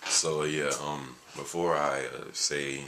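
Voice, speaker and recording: A tired man with a very deep voice, chatting casually in a video and rambling with jokes, fillers and restarts. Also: steady tone, slow pace, bright, forceful tone, slight background noise. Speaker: gaming video creator. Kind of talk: casual vlog chat.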